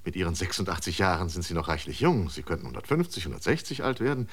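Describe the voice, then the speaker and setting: A man's voice: low-pitched, very animated, fast talker, fairly bright tone, breathy edge, full character voice. A man playing an officious, prying airfield manager in a science fiction radio drama, clipped, tacking on little questioning interjections. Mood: officious, probing.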